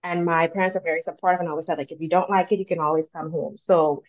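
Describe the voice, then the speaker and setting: A woman from the Bahamas, in her thirties, speaking as a career coach guest in a relaxed, thoughtful way with frequent fillers. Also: lowish voice, fast talker. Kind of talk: casual interview talk. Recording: dull lo-fi sound.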